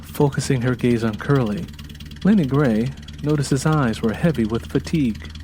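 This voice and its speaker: Mellow American man narrating a story. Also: medium pitch, moderate pace, a little hiss or hum. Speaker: audiobook narrator. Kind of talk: dramatic scripted story narration. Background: faint music bed.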